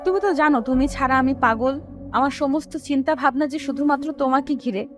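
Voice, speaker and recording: A woman playing an emotional, playful, lovesick young woman in an audio story, with a girlish, expressive manner. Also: high-pitched, fairly quick, lightly acted. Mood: tearful pleading. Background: prominent music.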